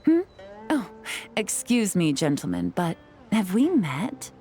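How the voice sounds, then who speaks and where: A woman voicing a poised, sly female spy who talks with smooth, teasing politeness in a video game. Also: on the low side, huge pitch swings, slow, warm tone, soft background music, heavily acted.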